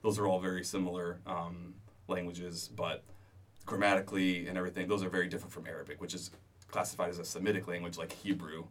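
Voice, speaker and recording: A man explains things thoughtfully as a guest, with a few fillers. His voice is very deep and flat. He sounds a little away from the microphone. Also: quick pace, distant mic. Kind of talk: casual interview chat.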